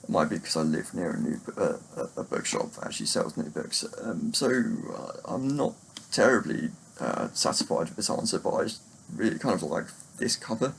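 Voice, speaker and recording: A man giving a casual, unscripted book chat to camera in a hesitant, halting, rambling way. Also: deep voice, big pitch swings, vocal fry, slight background noise. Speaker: book reviewer vlogger.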